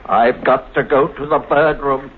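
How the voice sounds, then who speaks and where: An actor playing a tormented, protective husband in a 1950s radio thriller, halting and intense in his melodrama. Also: medium pitch, steady tone, close to an everyday voice. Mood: tense. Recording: noisy, tinny 1950s radio recording.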